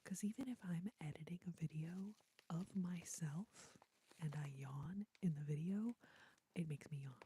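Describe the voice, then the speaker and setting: Low, dark whispering woman. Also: a little slow, slight background noise. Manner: rambling, playful, chatty asides to viewers; soft unvoiced whisper. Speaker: ASMR livestream host. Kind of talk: whispered ASMR livestream chat.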